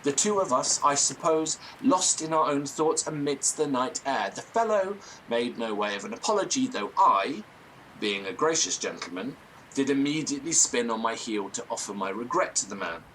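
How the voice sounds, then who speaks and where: An actor playing a pompous, excitable gentleman who speaks in florid, theatrical language in an audio drama. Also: medium pitch, normal speed, a little hiss or hum, lightly acted, low-quality recording. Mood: self-important. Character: pompous, excitable Victorian-style gentleman storyteller. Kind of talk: dramatic first-person storytelling in audio drama.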